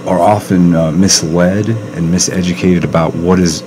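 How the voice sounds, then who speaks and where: A man hosting a podcast in a studio, with a booming voice that gets loud when excited, talking in halting bursts full of fillers. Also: background noise. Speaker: podcast host. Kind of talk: casual interview chat. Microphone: close to a studio mic.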